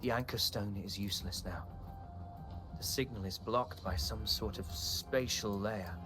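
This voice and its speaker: An actor voicing a solemn, courteous, duty-bound man in a video game, speaking formally and gravely over music. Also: fairly low pitch, a little slow, close to an everyday voice. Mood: cautious, formal. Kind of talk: dramatic game voice acting.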